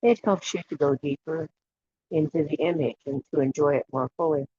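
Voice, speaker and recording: A guided imagery facilitator leading a relaxation meditation in a soothing, unhurried way, leaving long pauses between short phrases. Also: mid-range voice. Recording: dull lo-fi audio with clear background noise and clicks.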